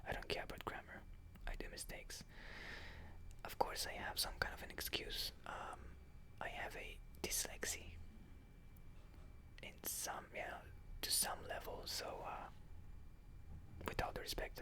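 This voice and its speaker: A Hebrew speaker from Israel, whispering a meandering ASMR question-and-answer chat, full of soft fillers. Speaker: ASMR creator.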